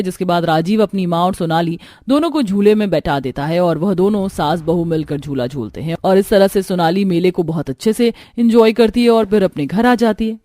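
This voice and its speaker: A woman's voice: medium pitch, warm tone, dry recording. Woman storyteller, dry studio sound. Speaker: narrator. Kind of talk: scripted storytelling narration for a cartoon.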